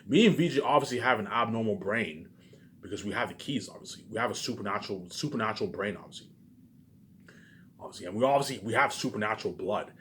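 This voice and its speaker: A man's voice: fairly low pitch, animated, fast, fairly bright tone, a little hiss or hum. A man delivering an unscripted, agitated rant, repetitive and profane, often stumbling over and repeating his words. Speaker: vlogger. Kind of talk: unscripted rambling rant to camera.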